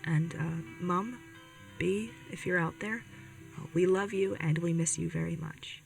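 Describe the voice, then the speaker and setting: A woman's voice: on the low side, unhurried, vocal fry, recorded from a distance, faint music behind. A woman playing an earnest, protective young rebel radio host in an audio drama, speaking hesitantly and directly to listeners. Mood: earnest, wistful. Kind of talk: podcast audio drama, conversational radio monologue.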